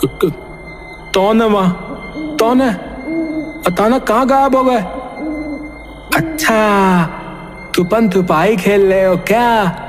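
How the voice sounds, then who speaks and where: A man's voice: high-pitched, takes it slowly, long pauses, big-room sound, faint music behind. A man voicing a simple-minded, childlike village man in a cartoon, naive and playful in manner. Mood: startled, curious. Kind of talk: Hindi cartoon voice acting.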